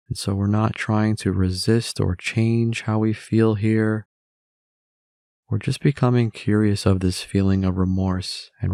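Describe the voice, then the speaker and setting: A man narrating a guided meditation in a measured way, with long pauses between phrases. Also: fairly low pitch, mellow, dry recording, studio quality.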